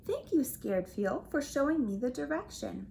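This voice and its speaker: A woman voicing a kind, calm female yeti in a storybook, gentle and reassuring. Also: high-pitched, moderate pace, creaky, slight background noise, heavily acted.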